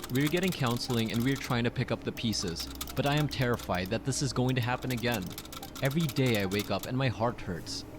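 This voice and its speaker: Male narrator, online story reader, mid-range pitch, audibly noisy recording. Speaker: narrator of online relationship stories. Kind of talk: scripted narration, reading posts aloud. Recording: clearly noisy background.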